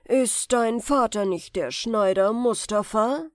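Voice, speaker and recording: A woman voicing a scheming male sorcerer who poses as a tender, generous uncle, with an ingratiating, drawn-out sentimental delivery in a fairy tale. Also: on the high side, no room sound, studio quality. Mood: feigned tender sorrow.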